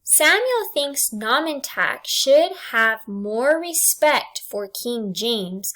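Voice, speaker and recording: A woman teacher reading test questions and answer choices aloud in a deliberate, enunciated way for students. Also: on the high side, sing-song, bright, forceful tone. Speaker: English language arts teacher.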